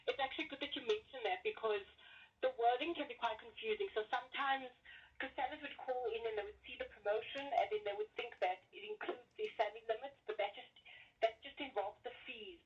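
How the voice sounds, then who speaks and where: Female support agent on muffled call, highish husky voice, explanatory and accommodating. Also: a little fast. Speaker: customer support agent for selling limits. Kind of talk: phone customer service. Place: on the other end of a phone call. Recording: muffled phone line.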